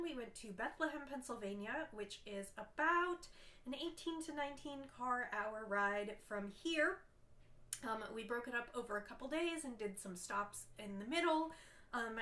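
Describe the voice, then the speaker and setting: A woman's voice: a little high, a bit bright, echoey room. A woman hosting a casual knitting video podcast, stuffy and congested from a cold, chatting along with frequent ums. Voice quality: nasal, stuffy. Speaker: podcast host.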